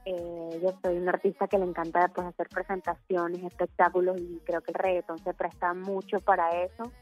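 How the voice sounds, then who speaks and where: A woman's voice: lowish voice, flat delivery, normal speed, muffled recording, soft background music. A woman from Puerto Rico with a Puerto Rican accent, a singer chatting as a guest in a phone interview, talking with lots of fillers. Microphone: phone line.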